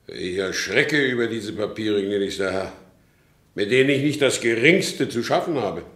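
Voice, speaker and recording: An actor playing a wronged horse dealer in a German radio drama, courteous and formal but insistent. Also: male voice, fairly low pitch, expressive, a little slow, pauses often, fairly bright tone. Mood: defensive, composed. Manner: formal, deferential yet insistent. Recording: roomy studio radio drama recording.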